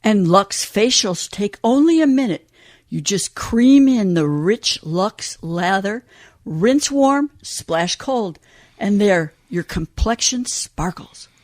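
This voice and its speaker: A woman presents a scripted, old-time radio advert as announcer. She speaks very slowly at a medium pitch, in a dry sound with no room echo. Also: lively intonation. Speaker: commercial announcer. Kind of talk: 1940s radio commercial pitch.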